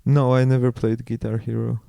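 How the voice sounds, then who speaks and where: A young man from Poland co-hosting a comedy podcast, with dry deadpan humor. His voice is smooth, mellow and clear, recorded close and dry. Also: medium pitch, brisk, no echo. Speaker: podcast co-host. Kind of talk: casual comedic chat.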